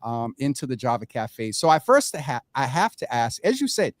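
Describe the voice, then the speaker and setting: A man's voice: medium pitch, big pitch swings, normal speed, no room sound. A man hosting a live morning show with casual, teasing banter, often repeating himself and talking over his guest.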